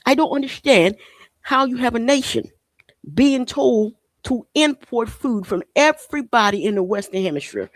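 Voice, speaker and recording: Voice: mid-range voice, expressive, moderate pace, no echo. A podcast host in their forties, originally from Haiti, delivering an impassioned monologue full of rhetorical questions and repeated phrases.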